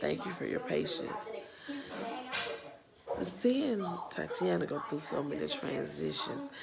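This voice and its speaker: Slow gravelly Southern woman. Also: on the low side, sing-song, over a noisy crowd. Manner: slow, earnest, halting with pauses and restarts. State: losing her voice. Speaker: nonprofit founder and parent. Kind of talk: personal vlog testimony.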